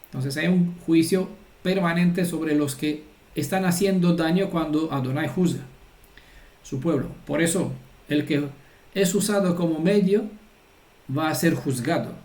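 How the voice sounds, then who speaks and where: A man's voice: on the high side, a little slow, a little mellow, reverberant. A man giving an informal, earnest religious teaching and often checking that his listeners follow. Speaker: Torah teacher.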